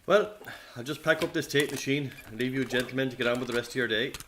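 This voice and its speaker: A polite Irish interviewer, played by a man in a radio drama. He speaks courteously and chattily at a middling pitch. There is slight noise on the recording. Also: fast talker, fairly bright tone, natural voice. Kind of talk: radio play dialogue.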